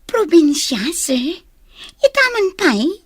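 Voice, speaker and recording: An actress playing an inquisitive, obedient office secretary in a radio drama. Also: female voice, extremely high pitch, big pitch swings, slow pace, dry recording, clean studio sound, full character voice. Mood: polite, curious.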